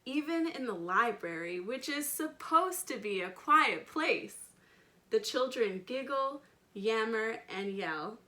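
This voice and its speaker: A woman's voice: a little high, animated, takes it slowly, sharp tone. A woman reading a picture book aloud for children, talking to them directly and stressing key words. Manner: addresses children directly with questions and simple words. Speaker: storytime reader and children's educator. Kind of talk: children's picture book read-aloud with chatty asides to young listeners.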